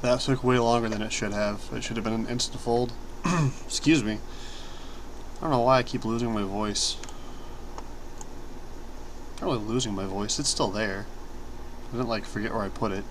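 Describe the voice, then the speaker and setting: A young man giving relaxed, rambling commentary over his own online poker session, self-deprecating and often clearing his throat. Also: lowish voice, normal speed, long pauses, background noise. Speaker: poker streamer.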